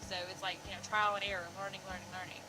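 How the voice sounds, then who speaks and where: A woman's voice: medium pitch, little pitch movement, racing, background noise. A woman in her twenties giving a casual, opinionated rant in a blunt, emphatic way, seated indoors a few feet from the camera. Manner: blunt, emphatic, frequent swearing. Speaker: host of a parenting discussion vlog. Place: indoor room, seated in a group.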